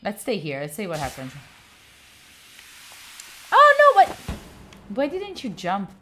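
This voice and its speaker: A woman's voice: mid-range voice, huge pitch swings, takes it slowly, pushed and bright, slight background noise. A young woman with an Italian accent, a streamer chatting to her viewers while playing a game and cheering on her character. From Italy. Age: young adult. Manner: chatty, talks to chat, cheers on her character with sudden squeals. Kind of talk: live gameplay stream chat.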